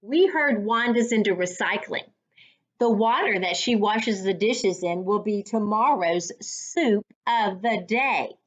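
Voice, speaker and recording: A woman reading a children's story aloud as a reading coach, with a deliberate, instructive, teacher-like delivery. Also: medium pitch. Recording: dull lo-fi sound.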